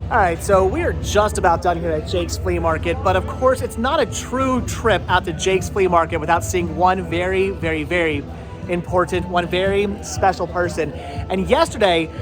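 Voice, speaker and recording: A man's voice: high-pitched, moderate pace, music in the background. A man hosting a casual vlog, chatting in a rambling way and repeating words for emphasis. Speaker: vlogger host. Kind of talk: casual vlog chat to camera and friends.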